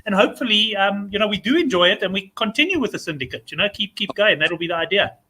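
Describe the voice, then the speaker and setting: A South African man with a raspy voice, hosting a casual, chummy chat show. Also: high voice, animated, quick pace, pushed and bright. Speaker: show host.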